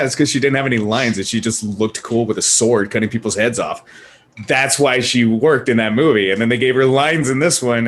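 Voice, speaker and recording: Guy w average pitch voice, casual rant on a gaming panel, jokey n sweary. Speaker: panelist on a gaming discussion show. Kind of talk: casual chat, animated film rant.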